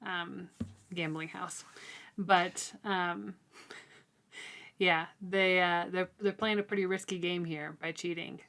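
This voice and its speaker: A woman co-hosting a casual, informal book discussion, talking in a halting, conversational way. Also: lowish voice, steady tone, fairly bright tone. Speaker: co-host of a book read-along discussion.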